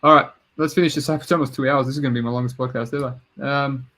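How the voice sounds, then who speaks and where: A man's voice: mid-range voice, steady tone, very fast, pushed and bright. A man with a mixed Serbian-Australian accent hosts a podcast, chatting casually and swearing now and then. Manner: laid-back, swears casually. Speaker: podcast host. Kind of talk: casual chat.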